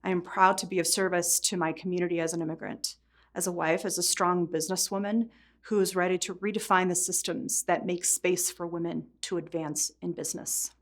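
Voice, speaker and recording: A woman's voice: fairly low pitch, fairly even intonation, brisk. A middle-aged woman from Poland, a native Polish speaker, giving an earnest prepared speech as a foundation board chair.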